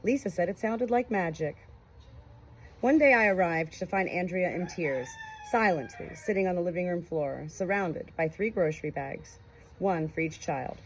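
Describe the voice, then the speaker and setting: A woman narrating a memoir aloud in an expressive, emotive way. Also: fairly low pitch, normal speed, a little hiss or hum, poor audio. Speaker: audiobook reader.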